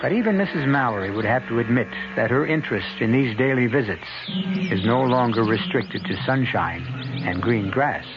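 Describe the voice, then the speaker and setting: A male radio mystery host narrates in a measured storyteller's way, at a medium pitch. Music plays behind him, and the old broadcast recording is dull and lo-fi. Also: expressive. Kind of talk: scripted radio drama narration.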